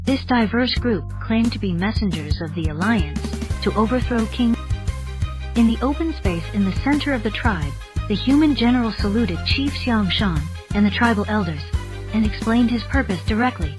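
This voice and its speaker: A woman's voice: a little high, expressive, moderate pace. A woman narrating a scripted story in the style of an audiobook. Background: faint music.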